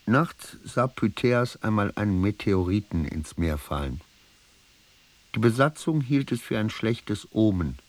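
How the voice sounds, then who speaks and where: Radio drama: ailing archaeologist reading his notebooks, deep voice, slow brooding delivery. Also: animated. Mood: weary, brooding. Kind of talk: literary radio play narration.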